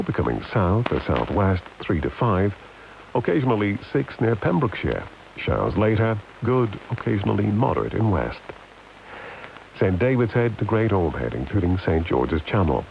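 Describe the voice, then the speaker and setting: A man reads scripted radio announcements in a formal, deliberate way, heard over an AM long-wave radio. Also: deep voice, creaky. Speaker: radio announcer and shipping forecast reader. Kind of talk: measured scripted radio forecast and sign-off. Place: broadcast studio, heard off a radio. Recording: hissy, dull long-wave radio reception.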